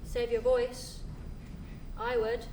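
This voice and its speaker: A woman on stage playing a prim, reserved figure with clipped phrases and deliberate pauses. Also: a little high, level tone, a little mellow. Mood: aloof, dry. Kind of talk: stage play acting. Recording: echoey distant stage recording, clear noise.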